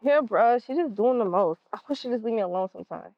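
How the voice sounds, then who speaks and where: A woman's voice: a little high, lively intonation, fast talker, no room sound, lo-fi sound. A woman with an American accent playing a defiant, sullen sixteen-year-old daughter in a stage play, blunt and sulky. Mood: resentful, guarded.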